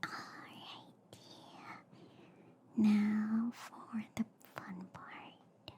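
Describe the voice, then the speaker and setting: A woman voicing a gentle, silly alien girl medic in a breathy, hushed whisper for an ASMR roleplay. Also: medium pitch, little pitch movement. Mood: playfully reassuring. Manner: slow, hushed, soothing, repeats phrases softly.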